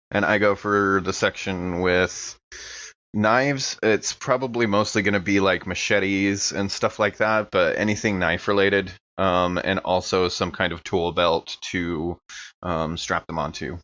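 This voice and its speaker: Male tabletop player, fairly low voice, casual and tentative delivery, slightly muffled sound. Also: steady tone, a little slow, a bit bright, dry recording. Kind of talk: casual improvised tabletop game talk.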